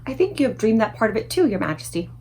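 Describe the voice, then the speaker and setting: A woman reading a storybook voices a polite, timid little girl who speaks softly and earnestly. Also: fairly high pitch, very animated, fast talker. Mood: calm, polite. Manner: soft, murmuring, earnest.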